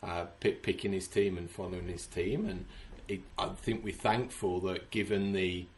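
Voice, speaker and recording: A man's voice: low voice, steady tone, moderate pace, a little hiss or hum. A man from England who runs a supporters club abroad, telling stories in a casual interview over a video call, often restarting his phrases. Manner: chatty storyteller with frequent restarts.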